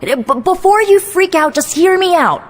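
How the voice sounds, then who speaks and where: A woman's voice: extremely high pitch, animated, normal speed. A woman voicing a brash, sly young sorceress in a video game, casual and persuasive, with sardonic delivery. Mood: urgent, pushy. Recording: music bed behind the voice.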